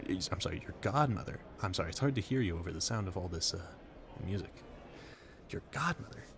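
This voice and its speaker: Man acting as creaky, flustered gentleman admirer. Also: lowish voice, noisy background. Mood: curious, amazed. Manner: effusive, rambling, stammering, formal yet awkward. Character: polite, flustered gentleman guest at a Gilded Age ball. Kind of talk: audio roleplay addressed to the listener.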